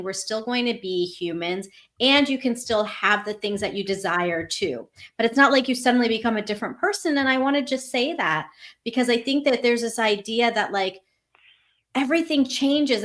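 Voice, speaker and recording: A woman's voice: fairly high pitch, fairly bright tone. A woman from Northern Virginia, a coach and interview guest, speaking in an earnest, candid, flowing way. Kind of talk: casual live interview.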